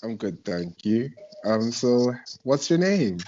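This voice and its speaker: A young man with a South African accent hosts a chatty radio interview. His pitch sits a little low and his talk is informal and slangy. The sound is muffled, as from an internet call. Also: sing-song, slow, a little mellow, slight background noise. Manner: informal, slangy, effusive reactions. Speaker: radio show host. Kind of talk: casual chat interview.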